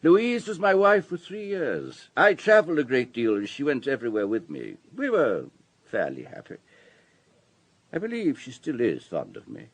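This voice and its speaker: An actor playing a wealthy, urbane businessman in his sixties in a radio drama, husky and discursive, with a worldly, paternal manner. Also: mid-range voice, huge pitch swings, normal speed, exaggerated voice. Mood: rueful, candid. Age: 60s.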